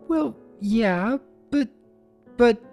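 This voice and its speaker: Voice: medium pitch, expressive, drawn-out words, darkish tone, soft background music, pitched above the performer's own voice. A storyteller voicing a small young male dragon, earnest, nervous and affectionate, with a hesitant manner. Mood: flustered. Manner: stammering, hesitant.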